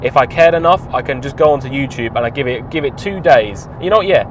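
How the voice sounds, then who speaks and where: Young man, offhand and wry, recorded in a car with road noise clearly audible behind him. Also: mid-range voice, expressive, fast. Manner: rambling, offhand, wry asides. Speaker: film reviewer. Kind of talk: casual spoken review. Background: clear car and street noise.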